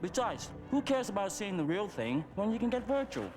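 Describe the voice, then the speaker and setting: A man voicing a flippant, laid-back teenage buddy with a breezy manner in an animated series. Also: high-pitched, fairly quick, low-quality recording. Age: young adult. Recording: music behind the voice.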